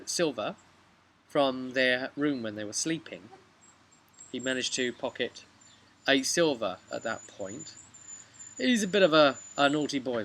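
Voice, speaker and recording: A young man with a British accent casually recounts a tabletop game session as game master, conversational, with emphatic pauses and tag questions. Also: a little high, bright, forceful tone. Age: young adult. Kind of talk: casual vlog storytelling. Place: outdoors on a bench beside a brick house. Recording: noisy outdoor recording with traffic ambience.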